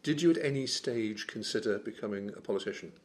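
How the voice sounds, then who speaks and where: A man's voice: fairly low pitch, fast talker. A man hosting his podcast, interviewing a guest with friendly, direct questions. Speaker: podcast host and reporter. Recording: faint guitar music behind. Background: faint guitar music.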